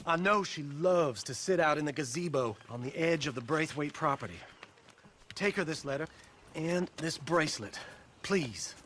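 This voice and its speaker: An anxious, genteel young Southern gentleman in a period game drama. His voice is on the high side and very animated, earnest and pleading in an American Southern accent. There is background ambience behind him. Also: normal speed, a little hiss or hum. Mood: smitten, nervous.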